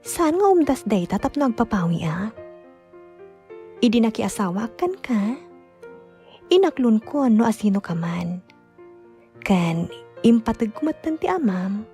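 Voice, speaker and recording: A woman's voice: a little high, big pitch swings, measured pace, pauses often, dark tone, faint music behind. A woman playing a meek, loving young wife in a radio drama, hesitant and devoted, with an Ilocano accent. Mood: tender, devoted.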